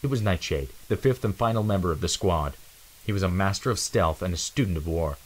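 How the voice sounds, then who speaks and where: A man's voice: deep voice, lively intonation, a little fast, poor audio. A man giving a dramatic narration of an adventure storybook.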